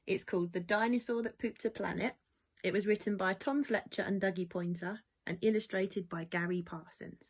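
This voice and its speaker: A woman reading a rhyming children's storybook aloud in an expressive, lilting way, as school staff do for young pupils. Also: mid-range voice, normal speed. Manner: animated, lilting rhyming delivery.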